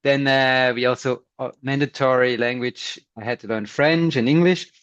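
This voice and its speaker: A man with a Swiss German accent, a non-native English speaker, chatting as an interview guest, thoughtful and quick to laugh. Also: mid-range voice, fairly even intonation, fairly bright tone, clear, resonant tone, no echo, muffled recording. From Switzerland. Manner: thoughtful, laughs often, many restarts. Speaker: guest, scientist and runner. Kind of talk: casual interview chat.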